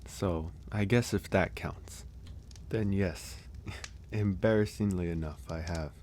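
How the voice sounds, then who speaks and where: A man playing a gentle, solitary werewolf in an ASMR audio roleplay, speaking softly and hesitantly, with sighs and pauses. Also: deep voice, a little hiss or hum. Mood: sheepish, amused. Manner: hesitant, soft-spoken, unhurried with sighs and pauses. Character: gentle solitary male werewolf caring for an injured stranger.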